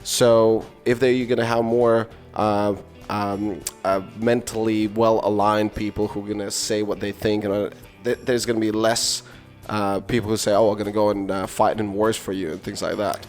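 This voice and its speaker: Music plays behind a man with a fairly low voice and a Latvian accent. He chats casually as an interviewer, rambling a little and hunting for the right word as he goes. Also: level tone, moderate pace, a little mellow. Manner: hesitant, rambling, searching for words. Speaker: podcast host.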